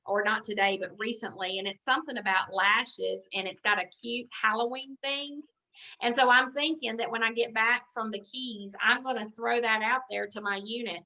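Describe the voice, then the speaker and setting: A woman with a rather high, forceful and bright voice leads an informal sales training over a conference call. She rambles in a chatty way and thinks aloud as she goes. The audio is slightly dull and lo-fi. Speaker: sales director training consultants. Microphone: conference call line.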